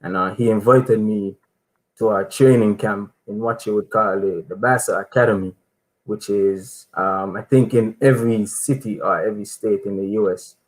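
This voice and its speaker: Soft-spoken young man from Saint Kitts. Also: lowish voice, normal speed, low-quality recording. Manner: relaxed, many um fillers, soft-spoken. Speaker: footballer, interview guest. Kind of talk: casual interview answers.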